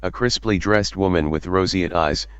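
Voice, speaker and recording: Very deep smooth-voiced man reading aloud. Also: moderate pace, dry recording, muffled recording. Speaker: game streamer. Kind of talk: reading visual novel text aloud. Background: game music.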